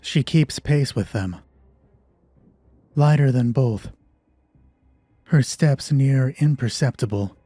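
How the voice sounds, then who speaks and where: A man narrating a scripted, dramatic horror story as host of a solo roleplay, with measured, deliberate phrasing. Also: medium pitch, dark tone, no echo.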